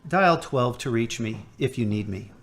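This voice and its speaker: A man playing a patient hospital chaplain who speaks in a calm, measured way. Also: medium pitch. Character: patient male hospital chaplain, gently probing.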